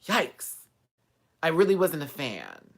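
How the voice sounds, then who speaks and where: A man's voice: on the high side, wildly swooping pitch, slow pace, creaky. A man from Texas hosting a live chat, giving sassy, profane, gossipy commentary on a reality TV show. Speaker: host.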